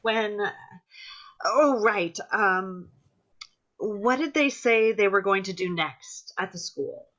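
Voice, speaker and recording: A woman's voice: mid-range voice, slow pace, a bit bright, dull audio. A woman playing an earnest, placating activist group leader in a play reading, hesitant and often trailing off.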